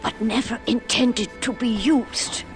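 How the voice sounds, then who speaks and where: An actress playing a blunt, practical resistance leader in a video game, dry and questioning. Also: female voice, fairly high pitch, fairly even intonation, takes it slowly. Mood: skeptical. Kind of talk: video game cutscene acting. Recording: music behind.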